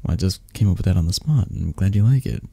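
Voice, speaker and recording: Male actor as a possessive young mafia boss for romantic ASMR: very dark, soft tone, gravelly fry, hesitant and coaxing. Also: low-pitched, animated, fast talker, dry recording, heavily acted. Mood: tender, coaxing. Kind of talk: ASMR romantic roleplay, intimate and soft-spoken.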